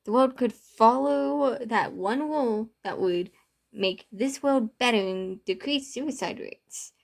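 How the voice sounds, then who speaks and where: A woman's voice: on the high side, slow pace, vocal fry, voice pushed up. A woman voicing a bitter, distraught young man in a story, impassioned and protesting, with stumbles. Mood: upset, breaking down.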